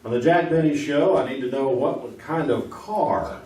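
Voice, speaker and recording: A man's voice: medium pitch, moderate pace, warm tone. A man hosting a quiz show with joking, slightly bumbling comic banter. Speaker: game show host. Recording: very noisy, echoey, distant stage microphone.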